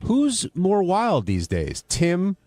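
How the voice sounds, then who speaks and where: A man's voice: high voice, wildly swooping pitch, slow, music in the background. A man hosting a casual talk panel, putting probing questions to the others. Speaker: panel host. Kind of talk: casual talk show moderation.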